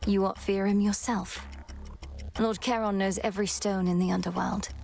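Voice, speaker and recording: A woman's voice: mid-range voice, little pitch movement, moderate pace, gravelly, darkish tone, soft background music, natural voice. A woman voicing a young immortal underworld goddess in a video game, a defiant warrior with cool, dry sarcasm and formal phrasing. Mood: dry defiance. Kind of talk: video game character dialogue.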